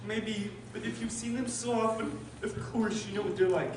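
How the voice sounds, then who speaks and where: A man playing a high-voiced sentimental Mock Turtle, very echoey. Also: fairly even intonation, normal speed, lightly acted. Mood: earnest, hesitant. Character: sad, old, sentimental male Mock Turtle. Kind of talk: stage-style radio drama acting. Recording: very echoey room, distant mic, hissy noise.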